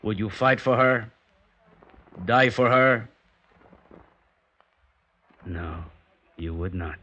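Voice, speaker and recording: A proud, brooding Mexican guitarist in an old radio play. He speaks in a slow, grave, low voice with a Mexican Spanish accent. The sound is that of a vintage broadcast. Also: pushed and bright, dull audio, slight background noise, full character voice. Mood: scornful. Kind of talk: 1950s radio drama acting.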